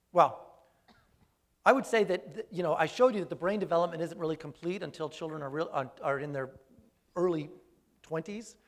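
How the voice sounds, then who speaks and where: A man who grew up speaking Greek first, a researcher answering audience questions after a talk in a thoughtful, hesitant way with frequent restarts. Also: fairly high pitch. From raised speaking Greek first. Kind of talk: live Q&A after a lecture.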